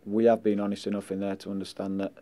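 A middle-aged man and football manager answering post-match questions indoors, measured and blunt, often saying "listen" and "um" into handheld mics. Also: fairly low pitch, little pitch movement, warm tone. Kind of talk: post-match press interview. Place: indoors in front of a sponsor board. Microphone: handheld mics held close.

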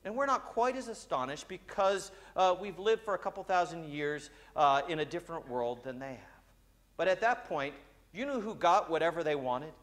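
High-voiced man preaching with uh fillers. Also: lively intonation, moderate pace, fairly bright tone. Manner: conversational preaching with frequent "uh" fillers; gestures broadly while walking about. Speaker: priest. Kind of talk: sermon. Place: large church sanctuary.

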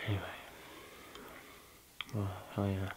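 A man with an Irish accent acting a dramatic monologue as a proud, brooding, restless settler who has killed, speaking deliberately with pauses. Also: low voice, completely flat delivery, noisy background. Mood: quiet, cold.

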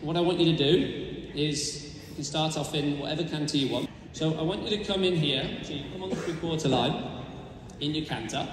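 A man's voice: on the high side, moderate pace, heavy reverb, big-room sound, noisy background. A man coaching a rider in a large indoor arena, giving directive, encouraging calls, recorded from a far camera. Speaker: riding coach. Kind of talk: live riding instruction in an arena.

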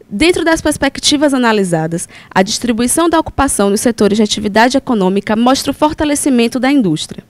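A woman reporter reading a scripted news voice-over in a steady, clear way. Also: on the high side, fast talker, dry recording.

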